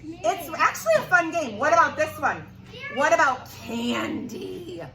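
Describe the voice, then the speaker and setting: A woman teacher reading aloud to young children, expressive and prompting them with questions. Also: sits high, unhurried, distant mic. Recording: roomy echo with clear background noise.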